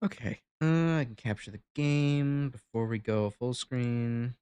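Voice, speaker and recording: A man's voice: medium pitch, unhurried, darkish tone, smooth. A congested man giving casual, rambling gameplay commentary as a streamer, full of fillers and restarts. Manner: rambling, self-correcting, frequent fillers. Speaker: gaming streamer. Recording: game music in background. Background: game music.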